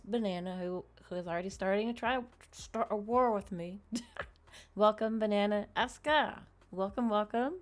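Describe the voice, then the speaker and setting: A woman hosting a livestream, chatting casually and informally straight to her viewers. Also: mid-range voice. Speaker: livestream host, VTuber.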